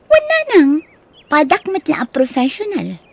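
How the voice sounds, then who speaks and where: A headstrong young Filipina daughter acted by a woman for an audio drama. Her high voice swings widely, whiny and pleading, in an unhurried delivery. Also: huge pitch swings, creaky, a little mellow, full character voice. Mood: defiant. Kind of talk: Ilocano audio drama acting.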